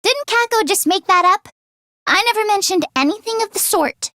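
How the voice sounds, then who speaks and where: High, bright, excitable girl inventor. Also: normal speed, dry recording, clean studio sound, heavily acted. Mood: awkward, flustered. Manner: expressive, swinging from deadpan grumbling to frantic outbursts. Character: excitable teenage girl inventor, earnest, easily flustered. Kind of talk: anime-style video game voice acting.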